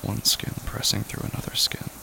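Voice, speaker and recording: A man narrating a horror story in a somber, grave and hushed manner, with deliberate pauses. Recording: loud rain noise behind voice.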